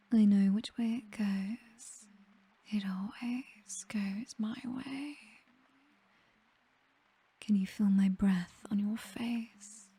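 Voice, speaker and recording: A woman acts out a seductive British vampire mistress for an ASMR roleplay. Her voice is a very breathy, dark whisper, unhurried and hypnotic. She is possessive and commanding, yet tender as she coaxes. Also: medium pitch, no room sound, full character voice. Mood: teasing, commanding. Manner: slow, coaxing, hypnotic, teasingly commanding.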